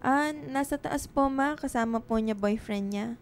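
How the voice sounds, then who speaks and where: Polite, high-voiced Filipina girl. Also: moderate pace, clean tone, soft background music. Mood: hesitant. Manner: respectful, timid. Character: polite, obedient young daughter. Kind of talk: Tagalog radio drama acting.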